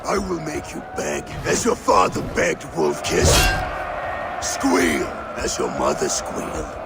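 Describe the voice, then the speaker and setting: An actor playing a brutal, taunting Viking warlord in a video game, bellowing and jeering. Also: male voice, low-pitched, wildly swooping pitch, takes it slowly, gravelly, heavily acted. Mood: cruel taunting. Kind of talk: dramatic video game acting. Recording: loud music and crowd behind.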